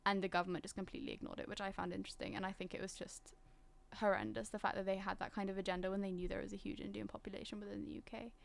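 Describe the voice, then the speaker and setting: A young woman with a British accent talks in a reflective, conversational way. Her pitch sits in a middle range and moves very little. She is articulate and discursive, often qualifying her own points as she goes. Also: fairly even intonation, racing, vocal fry, few pauses. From Singapore. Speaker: discussion participant.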